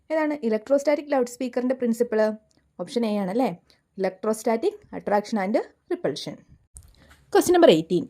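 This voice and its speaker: A woman tutor with a Malayali accent and a fairly high, soft-edged, mellow voice. She takes her time, reading quiz material item by item with careful enunciation. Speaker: exam tutor. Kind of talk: scripted quiz lesson, reading questions and options.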